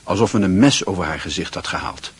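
A man acting as the brooding narrator of a literary radio play, with a low, husky voice. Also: expressive, quick pace, heavily acted. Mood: grave, intense. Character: brooding male narrator of a literary coming-of-age story.

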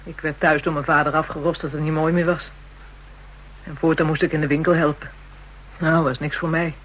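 A woman's voice: low voice, little pitch movement, fairly quick, rough recording. A woman playing a bitter, hard-bitten young call girl in a radio drama, coarse and defiant.

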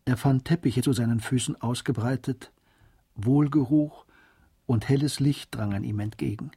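A male narrator reads scripted literary drama with measured composure. The voice is dark and mellow with a husky edge, recorded close and dry. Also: mid-range voice, no room sound.

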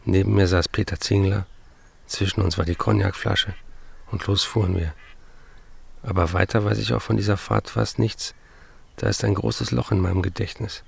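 Casting: a restless, addicted male novelist for radio drama; husky low voice, literary monologue. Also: fairly even intonation, normal speed, full character voice. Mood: wry, hazy. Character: addicted male writer, restless, narrating his life and letters. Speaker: actor in a radio play.

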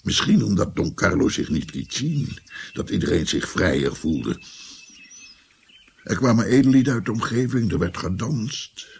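An actor playing a melancholy old doctor who tells of his past in a radio drama, with a gravelly, husky voice. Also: lowish voice, expressive, moderate pace, full character voice. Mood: wistful.

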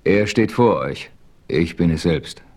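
A man playing a calm, self-assured western hero who narrates his own adventure in a radio play. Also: low-pitched, unhurried.

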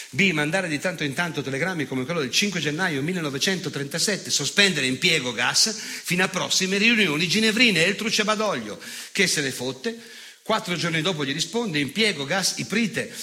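An unrepentant old fascist delivering a stage monologue. His voice is sharp, forceful and pitched rather high for a man. He gloats with sardonic relish, declaiming and repeating words with heavy pauses. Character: unrepentant, nostalgic old Italian fascist recounting war crimes.

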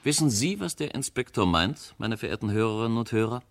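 Male narrator for a radio whodunit; lowish voice, lively intonation, courteous suspense-building address to listeners. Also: a little fast, gravelly, background noise. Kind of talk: scripted radio mystery presentation.

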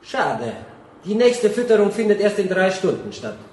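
An actor playing a smug young general-dictator in a German radio drama, gushing with oily familiarity and rambling on with jovial menace. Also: male voice, sits high, big pitch swings, moderate pace, darkish tone. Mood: smug, proud. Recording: roomy, echoing studio scene.

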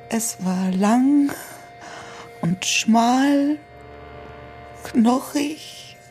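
An actress playing a frail, shaken female witness in a radio crime drama, speaking in a fragile, halting way. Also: sits high, expressive, darkish tone, full character voice. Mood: distressed, exhausted. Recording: studio radio drama with music underneath.

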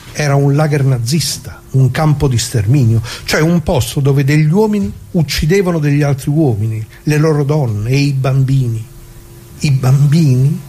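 An actor playing an elderly, plain-spoken working-class man from northern Italy in a conversational monologue, talking haltingly and colloquially straight to listeners. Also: medium pitch, dark tone, slightly rough, close to an everyday voice. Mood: indignant. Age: older.

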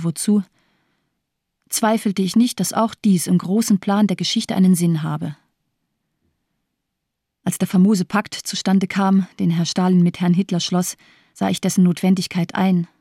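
A woman's voice: fairly low pitch, mellow, dry recording, clean studio sound. An actress playing an embittered, disillusioned woman survivor in a radio drama, speaking coldly with bitter sarcasm. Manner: cold, lifeless, sardonic delivery.

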